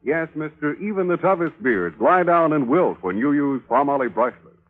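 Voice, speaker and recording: A man reading a polished sales pitch as a commercial announcer in 1940s radio style. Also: animated, moderate pace. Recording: muffled 1940s radio recording.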